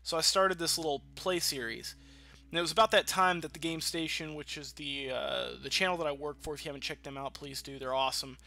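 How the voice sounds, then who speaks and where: A man's voice: a little high, fairly even intonation, a little fast, vocal fry, bright, forceful tone. A man hosting a gaming channel, giving casual, rambling let's-play commentary with informal, sweary talk. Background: game music.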